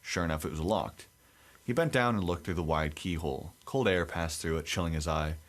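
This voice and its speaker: A young man with a deep radio-like voice, co-hosting a casual podcast and reading a creepy story aloud. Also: brisk. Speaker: podcast co-host.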